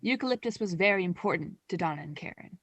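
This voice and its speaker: A woman's voice: lowish voice, lively intonation, fast, fairly bright tone, no room sound. A young woman playing the wry narrator of a scripted student radio play. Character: wry storyteller commenting on the characters.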